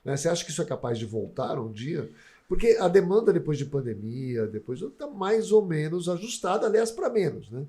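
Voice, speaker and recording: A man's voice: on the high side, sing-song, a little fast, mellow. A man from Brazil hosting a podcast interview, chiming in to finish his guest's sentences. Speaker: podcast host. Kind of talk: casual interview.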